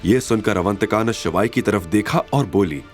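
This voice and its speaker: A man narrating a scripted drama story in an expressive, suspenseful storytelling style. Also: fairly low pitch, moderate pace, soft background music.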